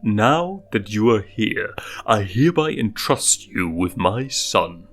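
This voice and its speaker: Guy reading as a calm dad lion king, lowish voice, measured and authoritative, storybook w music. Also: heavily acted. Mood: welcoming.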